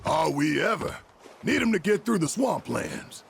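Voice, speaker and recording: Game role: wry tough-guy companion, rasping creaky voice, hugely animated, unhurried delivery. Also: fairly high pitch, slight background noise, exaggerated voice. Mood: wry, gruff. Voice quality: gravelly. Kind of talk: video game cutscene acting.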